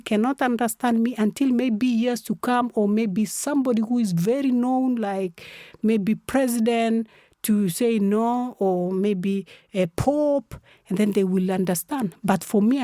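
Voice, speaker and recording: An impassioned woman activist with a Sudanese accent speaks English as an additional language. Her voice sits fairly high with a mellow tone, and the recording is dry and close. She talks emphatically, repeating herself and throwing out rhetorical questions. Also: no room sound. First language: a mother tongue other than English. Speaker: activist guest. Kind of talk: impassioned interview talk.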